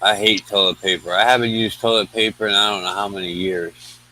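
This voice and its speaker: A man with a forceful, bright voice, pitched a little low. He chats casually as a livestream guest, with blunt buddy-style talk. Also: steady tone, a little fast, poor audio. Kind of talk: casual chat.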